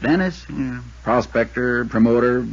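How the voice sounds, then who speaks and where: A man's voice: mid-range voice, a little slow. A man playing a folksy, talkative small-town insurance man in a 1950s American radio drama, with an American accent and a long-winded, good-natured storytelling manner. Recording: muffled, lo-fi old radio recording.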